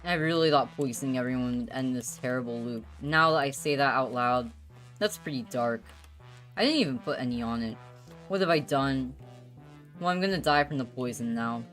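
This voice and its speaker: A woman's voice: extremely low pitch, moderate pace, fairly bright tone, soft background music. A woman reading the part of a guilt-ridden, exasperated divorced father in a visual novel, in a confessional, dramatic way. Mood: despairing. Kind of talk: visual novel narration read aloud.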